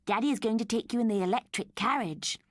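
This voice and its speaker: A woman's voice: fairly high pitch, dry recording, studio quality, pitched above the performer's own voice, close to an everyday voice. A woman doing a fussy, chiding mother. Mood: brisk. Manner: brisk, chiding. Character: fussy middle-aged mother, dismissive.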